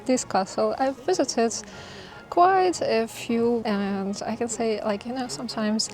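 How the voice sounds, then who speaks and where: A woman's voice: fairly high pitch, unhurried, darkish tone, soft background music, low-quality recording. A woman vlogger giving travel narration and casual commentary on a sightseeing visit.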